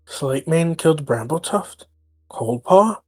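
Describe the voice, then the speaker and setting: A man's voice: on the high side, expressive, a little slow. A man playing a serious, protective young healer cat in a roleplay audio drama. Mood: confused. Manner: gentle, serious, blunt. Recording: dry, music behind.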